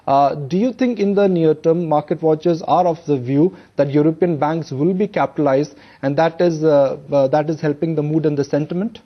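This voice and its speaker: A man with an Indian accent conducts a live financial news interview as anchor. His voice is on the high side for a man. The audio is slightly dull. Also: moderate pace, few pauses.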